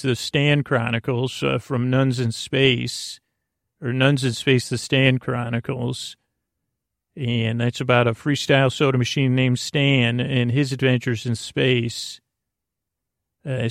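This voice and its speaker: A man hosting a sleep podcast with a meandering, halting bedtime monologue, gently whimsical and reassuring, often drifting into tangents. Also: medium pitch, creaky, dry recording, studio quality.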